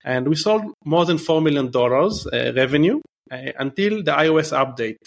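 A man's voice: fairly high pitch, expressive, moderate pace, vocal fry. A man in his thirties with an Israeli accent, answering interview questions in a loose, chatty way with many fillers and restarts. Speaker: guest entrepreneur.

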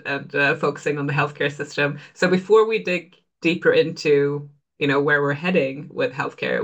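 A man's voice: a little high, vocal fry, sharp tone. A man co-hosting a podcast, asking questions in a curious, conversational way. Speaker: podcast co-host. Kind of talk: casual interview questions.

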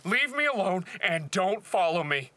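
A man's voice: sits high, very animated, unhurried, bright, forceful tone, heavily acted. A man playing a wary, bitter young outsider in an audio drama, edgy and confrontational. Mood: angry.

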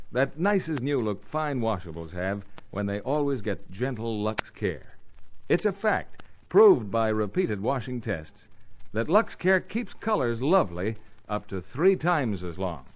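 An American man announcing on 1950s radio, reading scripted ad copy with a polished sales-pitch delivery. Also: sing-song, measured pace.

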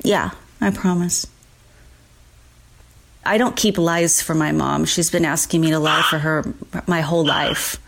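A woman with a fairly low voice talks in an intimate, personal conversation. She is gentle and supportive, answering simply and murmuring as she listens. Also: fairly even intonation, measured pace, gravelly, long pauses. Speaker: daughter speaking with her biological father.

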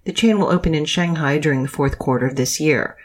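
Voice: mid-range voice, fairly quick. News reader for scripted podcast stories, mid-range voice.

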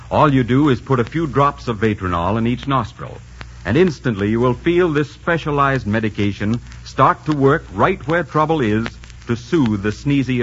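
Animated 1940s male radio announcer. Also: mid-range voice. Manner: polished, persuasive. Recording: noisy, muffled 1940s radio.

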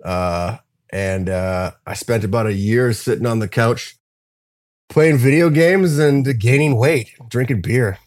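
A man's voice: medium pitch, a little slow, darkish tone, dry recording, clean studio sound. A man talking as a guest in a casual interview, candid and rambling, swearing now and then. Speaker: guest drummer.

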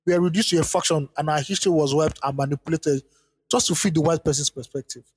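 A man who co-hosts a podcast, reading a listener's letter aloud and then chatting about it in quick bursts with frequent restarts. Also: fairly high pitch, breathy edge, no room sound, in a large hall. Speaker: podcast co-host.

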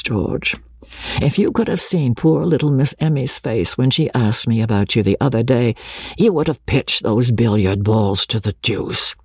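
A man's voice: medium pitch, creaky, darkish tone, slightly rough, no room sound, studio quality, exaggerated voice. A man voicing an earnest, loyal army captain who chides plainly, in a storybook reading. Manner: earnest, plain-spoken, chiding. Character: earnest, loyal male army captain, protective older friend.

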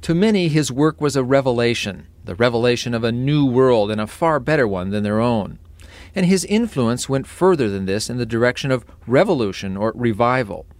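A man reading a formal written text aloud in a measured, declamatory way. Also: medium pitch, dry recording.

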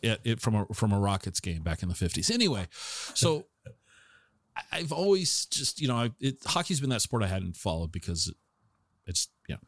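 A man's voice: fairly low pitch, sing-song, moderate pace, dry recording. A man with an American accent hosting a casual interview, rambling and interrupting himself as he goes. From Tacoma, Washington, United States. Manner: rambling, self-interrupting questions and anecdotes. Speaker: interview show host.

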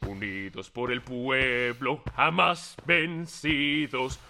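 Rebel guy singing an anthem, really slow, very bright forceful voice. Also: medium pitch, fairly even intonation, creaky, a little hiss or hum, heavily acted. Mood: rousing. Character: man singing a revolutionary anthem in Spanish. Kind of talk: slow singing in audio drama.

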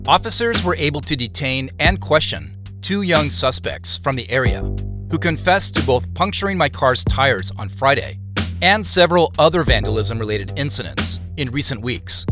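A man's voice: mid-range voice, close to an everyday voice. An actor playing a first-person narrator in an audio drama, an American man recounting events in plain, orderly sentences. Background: music.

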